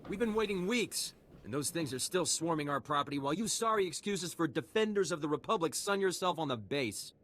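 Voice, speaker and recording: A man's voice: a little high, animated, brisk, fairly bright tone. An actor playing an indignant, entitled male settler in a video game, haughty and complaining. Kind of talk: scripted video game dialogue. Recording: noisy game capture.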